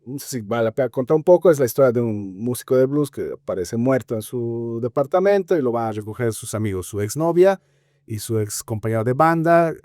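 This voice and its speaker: A man with a Bolivian Spanish accent talking film criticism conversationally as a podcast co-host, haltingly searching for words with frequent filler tags. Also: mid-range voice, animated, darkish tone, no room sound, studio quality.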